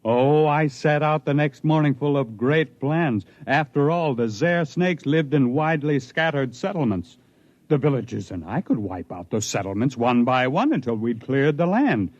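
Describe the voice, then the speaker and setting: A man's voice: mid-range voice, expressive, normal speed, dry recording, natural voice. An actor playing a proud, intense stranded spaceman telling his story in a 1950s radio drama, with emphatic, dramatic delivery. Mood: grim, determined. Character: stranded human spaceman recounting his life among aliens, proud, intense. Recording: muffled vintage 1950s radio recording.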